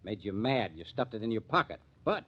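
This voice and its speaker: An actor playing a confident, wisecracking American police lieutenant in hard-boiled old-time radio crime drama. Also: male voice, on the low side, big pitch swings, brisk, breathy edge. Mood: accusing, cool. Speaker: police detective. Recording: dull vintage radio recording.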